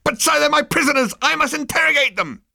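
A man's voice: very high-pitched, animated, fast, gravelly, no echo, pitched above the performer's own voice, heavily acted. A man voicing a furious, officious male spaceship officer who squeals and barks his lines. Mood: furious shouting. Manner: squealing, barking shouts.

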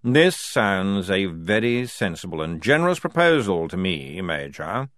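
A man voicing an authoritative, formal general with a curt, measured delivery in an audiobook. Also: low voice, very animated, fairly bright tone, no room sound, studio quality, full character voice. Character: curt, authoritative male general presiding over a hearing.

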